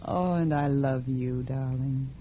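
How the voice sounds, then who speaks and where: A woman's voice: extremely low pitch, slow, slight background noise. An actress playing a scheming, unhappily married woman in love, with earnest, dramatic mid-century radio acting. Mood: tender. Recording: dull old radio recording with music.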